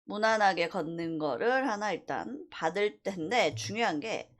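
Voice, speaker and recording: A woman teaching a university animation class through a screen-recorded software tutorial, explaining step by step with frequent fillers. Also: mid-range voice, animated, moderate pace.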